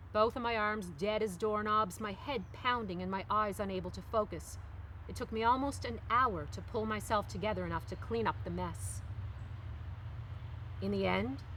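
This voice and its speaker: A middle-aged woman, an author, reading their own novel aloud in an expressive literary style. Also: mid-range voice, poor audio. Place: outdoors on a park bench among trees. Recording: faint outdoor background noise.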